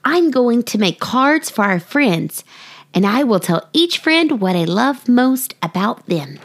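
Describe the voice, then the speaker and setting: A woman's voice: fairly high pitch, big pitch swings, slow pace, heavily acted. A woman voicing a cheerful, affectionate little girl in a storybook, with an eager, singsong delivery. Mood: excited.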